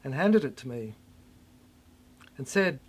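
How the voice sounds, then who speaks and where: Performer with widely swinging, slow dramatic delivery. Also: medium pitch, very animated, a little mellow, slightly rough. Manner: fragmented, halting phrases with dramatic swings. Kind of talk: theatrical spoken-word monologue.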